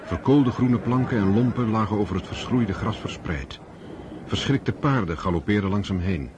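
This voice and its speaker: Noise runs behind an actor as a male storyteller with a fairly low voice. He tells a war epic soberly, as scripted radio drama narration. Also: animated, normal speed, natural voice.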